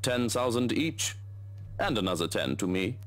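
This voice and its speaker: A man's voice: on the low side, animated, normal speed, lightly acted. An actor playing a rich man's matter-of-fact, tattling employee in a visual novel game.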